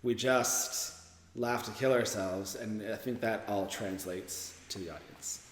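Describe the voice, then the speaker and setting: A man speaking casually in an interview as a writer and performer, enthusiastic and expressive. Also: on the low side, a little slow, music in the background. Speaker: writer and radio drama performer. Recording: echoey, reverberant room.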